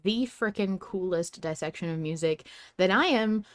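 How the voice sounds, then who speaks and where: Low-pitched woman hosting a casual podcast. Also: normal speed, dry recording, studio quality. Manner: effusive, appreciative. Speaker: podcast host. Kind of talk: casual fan discussion.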